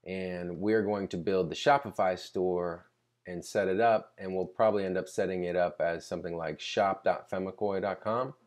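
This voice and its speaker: A man giving a casual, unscripted screen-recording tutorial, explaining conversationally and pausing mid-sentence to think. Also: low voice, fairly even intonation, darkish tone. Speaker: tutorial presenter.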